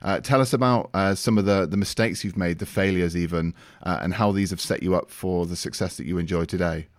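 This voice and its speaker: A man hosting an interview in a conversational style, forming his questions and comments deliberately with repeated words. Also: sits low, steady tone, no room sound, studio quality. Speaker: interviewer, host.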